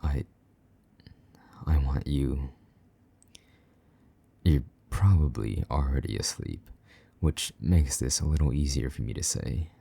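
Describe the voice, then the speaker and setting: A jealous vampire knight, played by a man murmurs slowly in a very deep, dark voice. His tone is husky and slightly gravelly, sliding into soft whispers as he teases and comforts. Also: full character voice. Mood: tender. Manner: low murmuring with soft whispers, teasing and gruff. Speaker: voice actor in audio roleplay. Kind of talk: intimate audio roleplay.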